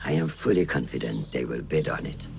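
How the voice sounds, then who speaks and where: An actor playing a stern, watchful mentor of assassins in a video game, commanding and sententious, giving measured orders. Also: male voice, extremely low pitch, expressive, vocal fry, music in the background, full character voice. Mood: stern, instructive. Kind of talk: dramatic game cutscene acting.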